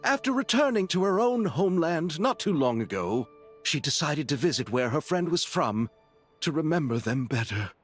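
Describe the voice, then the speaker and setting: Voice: medium pitch, sing-song, moderate pace, soft background music. An actor playing a gallant, flowery and dramatic film director in a video game. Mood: dramatic, enthused.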